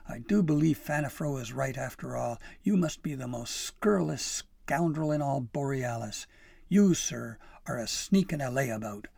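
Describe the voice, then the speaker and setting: A man's voice: medium pitch, moderate pace, gravelly, a little mellow, breathy edge, dry recording, studio quality. A man voicing a feisty young female elf in a storybook, stern, indignant and exasperated but fond underneath. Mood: furious. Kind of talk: acted storybook dialogue.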